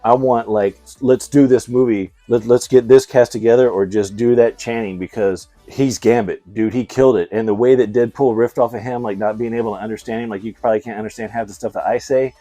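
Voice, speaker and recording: A man's voice: medium pitch, fairly even intonation, brisk, hardly any pauses, warm tone, no echo. A man hosting a vlog, talking in a casual, chatty way with slangy, laid-back fan enthusiasm. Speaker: YouTube host reviewing movies and toys.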